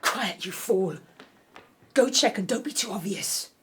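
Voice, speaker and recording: A woman's voice: mid-range voice, animated, a little slow, natural voice. A woman playing a ruthless, vengeful royal wife in a radio drama, haughty and cutting in manner. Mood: alarmed, commanding.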